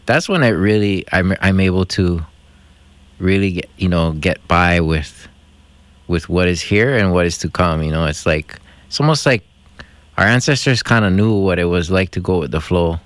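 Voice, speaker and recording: A man from Hawaii hosting a community radio talk show, speaking in a reflective, halting way with many fillers. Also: sits low, dry recording. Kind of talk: casual radio conversation.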